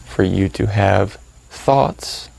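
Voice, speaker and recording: Male meditation guide, slow and calm, heavy vocal fry, plain-spoken and unhurried. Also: lowish voice, poor audio. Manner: calm, hushed, unhurried with long pauses.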